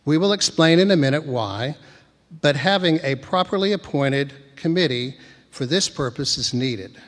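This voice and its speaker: A soft-spoken man, reading a prepared committee report to a church congregation at a podium mic. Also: a little high, animated, normal speed. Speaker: church committee member presenting. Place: church sanctuary. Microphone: podium microphone. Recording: long church reverb.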